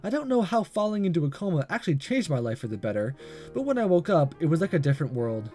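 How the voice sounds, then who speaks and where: A man reading a visual novel aloud as a gaming host, expressive but amateur, stumbling and rereading lines. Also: a little high, fast, faint music behind.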